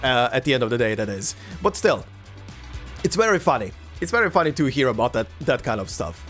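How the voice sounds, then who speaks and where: A Croatian man whose English mixes American and British with a slight German tinge, hosting a let's play and chatting casually with viewers, halting with many fillers and repeated words. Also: medium pitch, sing-song, a bit bright, soft background music. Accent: mix of American and British English, slight German tinge.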